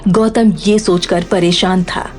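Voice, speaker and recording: A woman's voice: lowish voice, steady tone, measured pace, soft background music. A woman giving scripted, dramatic narration of an audio story as its narrator.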